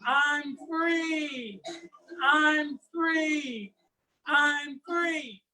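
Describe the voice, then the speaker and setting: A man's voice: very high-pitched, slow pace, pushed and bright, pitched above the performer's own voice, heavily acted, rough recording. A man voicing a trapped snake in a folktale, begging for help in a raised voice, with a sly edge. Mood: gleeful. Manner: begging, then gleeful.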